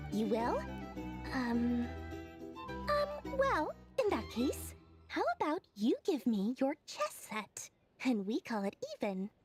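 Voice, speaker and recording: A woman's voice: a little high, sing-song, slow, faint music behind. A woman voicing a small, bubbly, greedy girl merchant in a video game, shrewd and boastful. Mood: sly bargaining. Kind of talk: animated video game voice acting.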